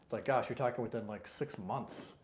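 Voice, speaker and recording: A man sits for a casual interview in an office and answers at an ordinary pitch. He talks hesitantly, with many ums and restarted phrases. The room around him sounds noticeably echoey. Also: a little fast, slight background noise. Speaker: interviewed client. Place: consulting firm office.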